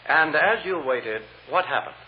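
Old radio drama, light hiss, insistent trial lawyer, male, animated intonation, probing questions, hesitant rephrasing. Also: a little high, unhurried. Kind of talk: vintage radio drama acting. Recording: muffled, faintly hissy old radio recording.

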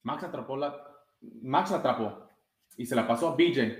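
A young man in his twenties reading a children's story aloud, a little haltingly. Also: medium pitch. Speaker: soccer goalkeeper reading a children's book. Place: small room with blinds, indoors. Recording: roomy indoor webcam sound.

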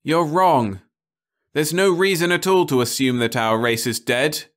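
A man voicing a calm, pragmatic male leader on a starship, who speaks firmly and persuasively. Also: medium pitch, moderate pace, voice pushed up, natural voice. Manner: firm, persuasive.

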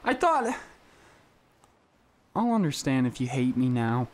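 A performer voicing a lovesick, apologetic young male suitor in an animated film. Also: medium pitch, a little slow. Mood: pleading.

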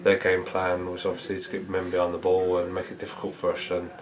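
A man, a football manager giving a measured post-match interview, thinking aloud with many fillers. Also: deep voice, monotone. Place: small indoor press room before a sponsor board.

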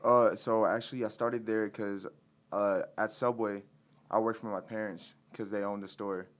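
A man's voice: lowish voice, steady tone, moderate pace. A young man applying for a job, answering politely and deferentially, with frequent yes sirs. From United States. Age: young adult. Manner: polite and deferential, keeps saying yes sir. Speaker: job applicant. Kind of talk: casual job interview answers.

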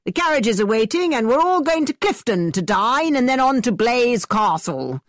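A woman's voice: a little high, big pitch swings, normal speed, no echo, studio quality, pitched above the performer's own voice. A woman reading a story aloud, voicing a brash, boastful young man who pushes his plans on others. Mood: pushy. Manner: loud, pushy.

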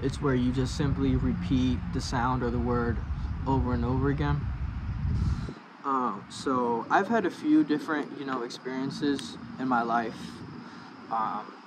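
A young man who stutters, with blocks and repeated sounds, talking to camera in a slow, hesitant way under a park pavilion, holding a small mic close. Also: medium pitch. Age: young adult. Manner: slow, hesitant, many fillers. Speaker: vlogger. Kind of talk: personal talk to camera. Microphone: small handheld mic close to mouth. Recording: outdoor traffic noise.

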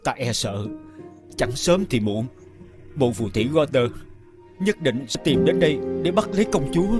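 A man playing an anxious, devoted king in an animated fairy tale, speaking in a formal, courtly way. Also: medium pitch, normal speed, over music, lightly acted. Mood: worried.

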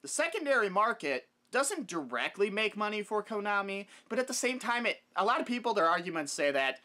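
A man's voice: sits high, very animated, fairly quick, pushed and bright. A young man talking casually and emphatically to camera, giving his opinions as a video host in a room at home. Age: young adult. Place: small wood-paneled room at home.

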